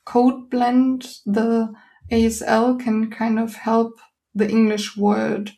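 A woman's voice: fairly high pitch, little pitch movement, takes it slowly, warm tone. A woman host whose first language is German, asking questions in English and reflecting on her own experience. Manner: reflective, sharing personal experience. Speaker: podcast host. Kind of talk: interview questions.

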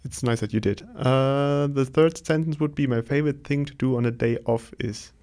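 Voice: medium pitch, moderate pace, mellow. A podcast host asking short prompt questions in a casual interview and giving brief encouraging replies.

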